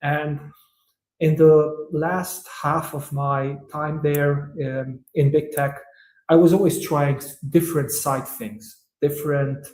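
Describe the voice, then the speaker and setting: A man from Romania answers interview questions in a slightly high voice, hesitating and filling gaps with "uh." The sound is roomy, with a noticeable echo around him. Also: flat delivery, darkish tone. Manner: thoughtful, hesitant, many "uh" pauses. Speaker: guest, tech entrepreneur.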